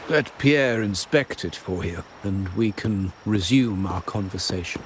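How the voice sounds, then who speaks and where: A man's voice: fairly low pitch, a little slow, background noise, lightly acted. An actor playing a theatrical, self-assured, smug male villain with courtly manners in a video game. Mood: cool, controlling.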